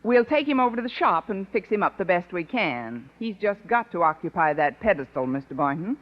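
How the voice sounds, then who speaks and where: Woman acting a wry, wisecracking schoolteacher, lively pitch. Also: fairly quick, natural voice. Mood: brisk, scheming. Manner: dry, wisecracking. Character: wry, sardonic female high school English teacher. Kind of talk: 1950s radio sitcom acting. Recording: dull, noisy 1950s radio recording.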